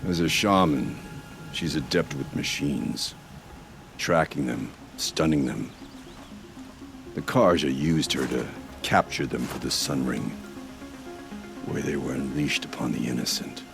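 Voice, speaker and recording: Super deep gravelly warrior chief, stern and solemn, game cutscene with music under it. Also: male voice, a little slow, exaggerated voice. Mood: bitter, sorrowful. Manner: solemn, terse, weighty formal phrasing. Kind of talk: dramatic video game acting.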